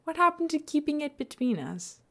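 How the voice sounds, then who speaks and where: A woman's voice: high-pitched, big pitch swings, fairly quick, a bit bright, voice pushed up, exaggerated voice. A woman reading the part of a nervous, reluctant ordinary man in a superhero audio story. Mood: accusing.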